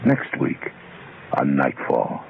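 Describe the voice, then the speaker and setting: A man hosting a horror radio show in a scripted, theatrical, sinister manner with dramatic pauses. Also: extremely low pitch, big pitch swings. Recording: tinny, faintly noisy radio broadcast.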